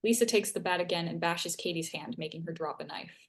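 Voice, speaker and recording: A woman's voice: fairly low pitch, fairly quick. A woman narrating scripted action as the stage-direction reader at a live screenplay table read.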